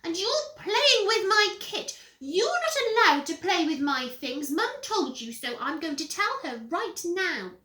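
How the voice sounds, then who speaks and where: A woman plays a prim little storybook boy. The voice is high, squeaky and whiny, always ready to tell tales. It swoops about in a roomy space. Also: big pitch swings, unhurried, few pauses, sharp tone, full character voice. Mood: indignant. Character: Perfect Peter, prim, indignant little boy. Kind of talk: children's storybook reading. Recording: roomy echo.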